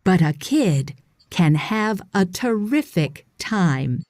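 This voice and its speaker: A woman narrating a scripted children's read-aloud, with deliberate, expressive phrasing for young readers. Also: fairly low pitch, dry recording, clean studio sound. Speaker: audiobook narrator.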